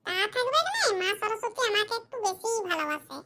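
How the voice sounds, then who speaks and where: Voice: very high-pitched, sing-song, a little fast, bright, forceful tone, exaggerated voice. A performer voicing a cheeky, boastful schoolchild in a comedy cartoon, talking in a cocky, argumentative, colloquial way. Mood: smug, boastful. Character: cheeky, boastful Bengali schoolchild, dim but sly.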